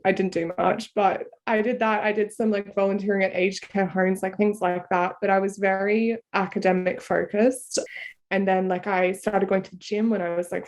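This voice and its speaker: A woman's voice: mid-range voice, darkish tone. Chatty Australian woman guest. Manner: chatty, frequent "like" fillers, laughs easily. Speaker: podcast guest. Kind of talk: casual conversational interview. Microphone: video call.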